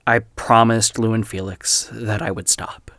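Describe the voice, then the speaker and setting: A man playing a self-doubting young podcaster in an audio drama, speaking in a halting, unsure way. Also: lowish voice, little pitch movement, fairly bright tone, no echo, studio quality. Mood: hesitant, torn. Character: hesitant, self-doubting young male podcaster turned investigator.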